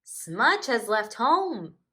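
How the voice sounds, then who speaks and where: A friendly storybook goose, played by a woman, pitched well up and exclamatory. The delivery swings about in an animated way, as a playmate would. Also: drawn-out words, heavily acted. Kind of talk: children's storybook character voice.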